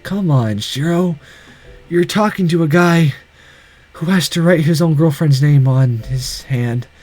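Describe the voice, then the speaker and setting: A man's voice: a little high, measured pace, darkish tone, soft background music. A man voicing a dying young man in a visual novel, weary and tender, with a self-deprecating streak. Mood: tender joking.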